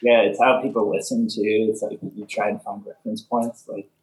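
A young man from Canada, a band member answering interview questions in soft, halting casual talk full of fillers. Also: lowish voice, little pitch movement, a crowd in the background. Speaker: band leader, interview guest. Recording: echoey room.

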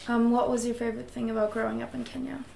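Teen girl from germany, slightly high voice, flat and gravelly, echoey room, faint hum. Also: fast. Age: teens. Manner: hesitant, with many "um" fillers. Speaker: interviewer. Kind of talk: interview questions.

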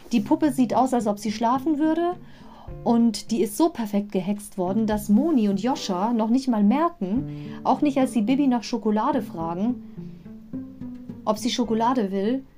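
A woman's voice: fairly high pitch, expressive, moderate pace, dark tone, faint music behind. A woman hosting a podcast, casually retelling a story with chatty, digressive commentary. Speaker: podcast host.

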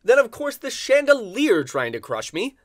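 Rambling rich haunted homeowner, super animated, exasperated comedy sketch, close dry sound. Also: male voice, very high-pitched, normal speed, no room sound, heavily acted. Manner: dramatic, rambling complaints. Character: neurotic wealthy haunted mansion owner, chatty and exasperated. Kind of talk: audio comedy sketch acting.

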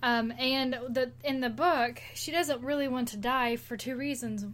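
A woman's voice: sits high, measured pace, a bit bright. A woman co-hosting a podcast, chatting casually and retelling stories in an informal, rambling, conversational way. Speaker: podcast co-host.

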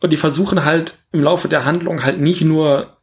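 A man's voice: on the high side, steady tone, moderate pace. A man co-hosting a casual film review podcast, talking like an enthusiastic fan who often stops to correct himself. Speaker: podcast co-host reviewing films.

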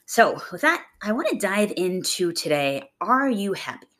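A young adult woman hosting a podcast, raspy from a chest cold, talking in a chatty, upbeat, rambling way. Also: big pitch swings, normal speed, a bit bright. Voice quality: gravelly, low voice. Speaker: podcast host. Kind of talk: casual motivational monologue.

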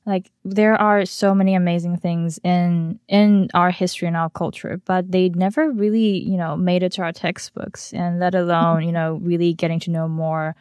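A woman's voice: on the low side, level tone, moderate pace, hardly any pauses, a little mellow, smooth, no echo. A woman from Taiwan hosting an interview, talking in long, affirming, conversational questions. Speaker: podcast host.

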